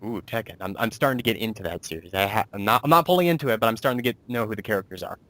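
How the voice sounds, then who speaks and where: A man's voice: fairly low pitch, animated, very fast, a bit bright, no echo. A man hosting a casual, chatty interview in an enthusiastic, reactive manner. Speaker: interviewer, host.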